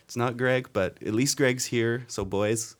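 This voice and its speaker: A man's voice: mid-range voice, fairly even intonation, unhurried. A man hosting a casual podcast, bantering and teasing his co-hosts. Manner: jokey, upbeat banter. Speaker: podcast host.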